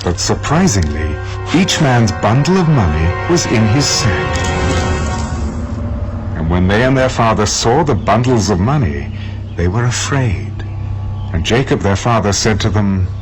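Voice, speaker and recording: Solemn guy narrating bible drama, lowish voice, very expressive, music underneath. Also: measured pace. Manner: solemn storytelling.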